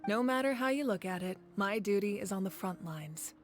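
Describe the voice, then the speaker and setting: An actress playing a confident, caring woman who leads warriors in a video game, speaking in a composed, resolute and measured way. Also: mid-range voice, expressive, close to an everyday voice. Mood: calm, determined. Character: confident, caring warrior leader woman, former fire deity. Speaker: game character. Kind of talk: scripted video game voice acting. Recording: game audio with background music.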